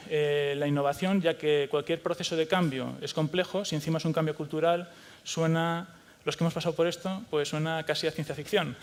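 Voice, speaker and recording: Man, panel moderator, hesitant, fairly high voice, long reverb. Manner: hesitant, many fillers and restarts. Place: conference hall.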